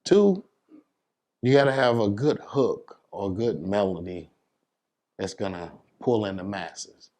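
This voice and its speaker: A man from New York City with a fairly low voice, talking as a DJ being interviewed. His speech is casual and slangy, and he lays out advice with emphasis. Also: expressive, slow pace, a little mellow. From New York City, United States.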